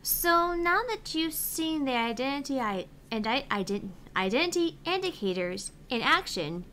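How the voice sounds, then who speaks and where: Woman voicing a bright, high-pitched, perky app assistant. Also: expressive, moderate pace, a little hiss or hum. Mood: helpful, playful. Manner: chirpy, encouraging, playful. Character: perky, reassuring female phone app assistant. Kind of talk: reading visual novel game dialogue aloud.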